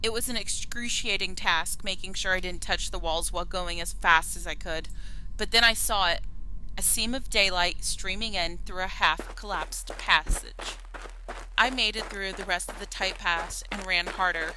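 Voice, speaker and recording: A woman's voice: on the low side, normal speed, sharp tone, slight background noise, poor audio. A woman playing a shaken young survivor calling a radio horror show, telling her story in a grave, dramatic, confessional way. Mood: tense, urgent. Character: haunted, shaken young female survivor recounting a nightmare. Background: music.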